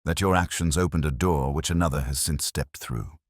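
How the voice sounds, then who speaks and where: A man playing a calm, measured police inspector who questions people gently and deliberately in a village mystery story. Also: very deep voice, no room sound, clean studio sound. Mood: calm, probing.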